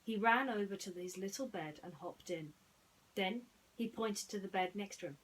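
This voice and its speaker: A woman hosting and narrating bedtime stories in a gentle storytelling style. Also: mid-range voice, normal speed, a little hiss or hum, rough recording.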